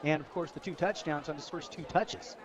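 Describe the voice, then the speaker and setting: An American man with a fairly high voice rattles through casual football color commentary at a quick clip. A crowd is clearly audible behind him on the radio broadcast. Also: steady tone. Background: stadium crowd.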